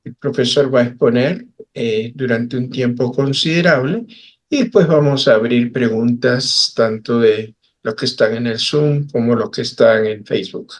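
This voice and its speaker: A man introducing a guest speaker at an online seminar, with a formal, hesitant delivery over a video call. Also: medium pitch. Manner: slow, hesitant, with fillers. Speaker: seminar moderator.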